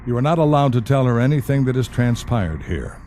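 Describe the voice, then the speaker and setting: An actor in an audio play playing a stern, composed handler to a dead spy, with a measured, formal and dry delivery. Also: male voice, medium pitch, expressive, mellow, slight background noise, lightly acted. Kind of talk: audio drama dialogue.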